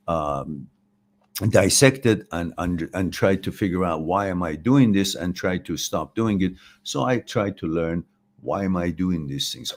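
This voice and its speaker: Very deep-voiced older Iranian man. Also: a little mellow. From Iran. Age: 60s. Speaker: host of a live talk, answering viewer questions. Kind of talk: informal philosophical talk.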